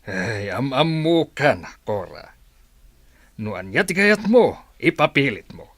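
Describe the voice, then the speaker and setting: Animated, scolding Ilocano father. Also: medium pitch, takes it slowly, no echo, clean studio sound, exaggerated voice. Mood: exasperated. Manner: scolding, exasperated. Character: stern, exasperated father scolding his daughter. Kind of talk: Ilocano radio drama acting.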